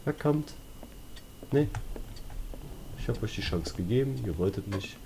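A man's voice: on the low side, lively intonation, unhurried, background noise. A man giving casual, colloquial, joking commentary as he plays a horror game, talking to himself and his viewers. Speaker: let's player.

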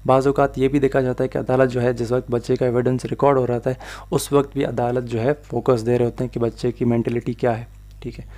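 A man's voice: mid-range voice, little pitch movement, fast talker, dark tone. A man with a Pakistani Urdu accent giving a slide lecture on evidence law, mixing in English legal terms as he explains step by step.

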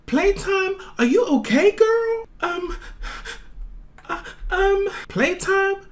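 A woman's voice: extremely high pitch, expressive, slow, exaggerated voice. A woman voicing a fussy, fretting schoolteacher with a sing-song manner, in a roleplay skit. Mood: worried.

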